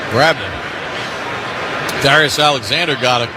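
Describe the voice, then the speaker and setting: A man's voice: mid-range voice, a little slow. A man calling live football on the radio as play-by-play announcer, in clipped running description of the action. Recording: loud stadium crowd noise behind. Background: loud crowd and stadium noise.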